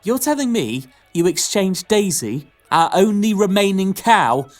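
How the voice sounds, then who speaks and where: A woman playing a sharp-tongued, exasperated mother with a sarcastic manner in a pantomime audio drama. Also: medium pitch, sing-song, unhurried, soft background music. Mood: scornful, angry. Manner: sarcastic, scolding.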